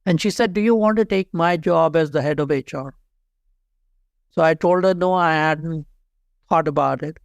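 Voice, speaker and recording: Man, Pakistani accent, fifties, earnest, repeats key points, crisp resonant tone, high pitch. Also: moderate pace, pauses often, no room sound. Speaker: guest, HR leader and author. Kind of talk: interview storytelling.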